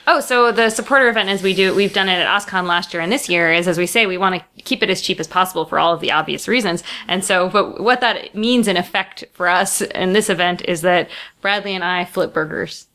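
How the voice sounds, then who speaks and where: A woman from Long Island co-hosting a podcast in casual, chatty talk, halting now and then on fillers. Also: lowish voice, bright, forceful tone. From Long Island, New York. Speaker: podcast co-host. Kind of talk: casual chat.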